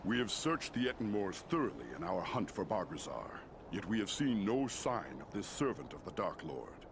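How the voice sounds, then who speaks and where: An actor playing a regal, wise and stern giant eagle lord in a fantasy game, stately and authoritative in delivery. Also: male voice, lowish voice, sing-song, moderate pace, noisy background. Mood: grave, probing. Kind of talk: scripted fantasy game dialogue.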